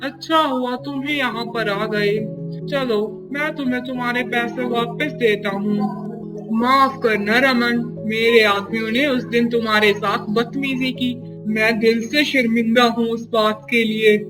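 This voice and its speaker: An actor playing a sly, greedy male merchant in a Hindi moral-story cartoon, smooth and ingratiating in manner. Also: mid-range voice, steady tone, unhurried, natural voice, low-quality recording. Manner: ingratiating in company, scheming when alone. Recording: music behind the voice.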